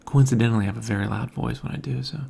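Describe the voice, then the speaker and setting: A man with a deeply gravelly, fry-laden voice talks quietly, half-mumbling through a loose morning podcast. He speaks softly into a mic hung above his head in a home room, with a faint hiss underneath. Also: very fast. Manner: quiet, soft-spoken, mumbling. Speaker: podcast host. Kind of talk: casual morning monologue. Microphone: hanging overhead mic.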